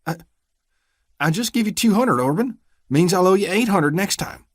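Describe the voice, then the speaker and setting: A man's voice: high voice, very animated, moderate pace, no room sound, clean studio sound, pitched above the performer's own voice. A man voicing a frightened rural man who pleads in a quavering voice. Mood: pleading.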